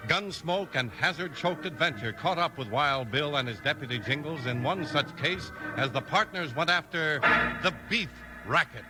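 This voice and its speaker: A man's voice: a little high, expressive, moderate pace, poor audio. An American man announcing and narrating in scripted 1950s radio style, emphatic and polished. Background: faint music.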